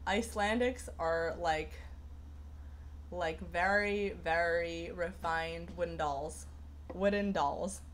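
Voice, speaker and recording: A woman's voice: fairly low pitch, slow, a little hiss or hum. A young woman hosting a casual livestream, rambling through viewer comments with lots of fillers and restarts. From Persian heritage. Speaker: livestream host.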